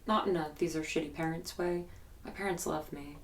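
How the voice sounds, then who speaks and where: An actress playing an earnest young woman recounting frightening memories in a halting, guarded, matter-of-fact interview style for an audio drama. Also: low-pitched, fairly even intonation, close to an everyday voice. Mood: frustrated, measured. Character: haunted, earnest young woman recalling childhood terror.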